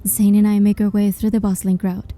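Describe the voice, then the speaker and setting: Very mellow, soft-dark woman narrator. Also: mid-range voice, flat delivery, brisk. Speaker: narrator and heroine's voice. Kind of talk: scripted first-person story narration. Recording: music under the voice.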